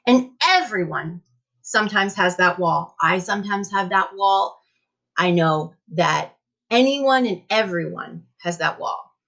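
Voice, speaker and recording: A woman's voice: on the low side, animated, measured pace, gravelly, fairly bright tone. A woman in the role of a teacher reading a picture book aloud to children, speaking directly to them with emphatic encouragement.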